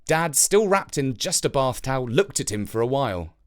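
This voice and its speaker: A narrator reading a scripted children's story with expressive, deliberate phrasing. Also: mid-range voice.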